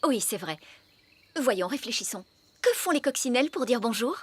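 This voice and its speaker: A woman voicing a bossy, fussy fairy nanny in a French cartoon, with a brisk, theatrical, disapproving manner. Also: a little high, animated, a little hiss or hum, lightly acted. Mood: matter-of-fact.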